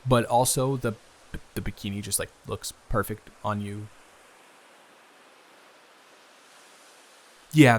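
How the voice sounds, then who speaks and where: A man acting as a smitten young man in an intimate romantic audio drama. He talks slowly at a medium pitch, halting and flustered as he feels his way through his phrases. The delivery is conversational and improvised. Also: a little hiss or hum, close to an everyday voice. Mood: flustered, admiring. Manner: halting, flustered, with sighs, gasps and laughs. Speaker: audio roleplay performer.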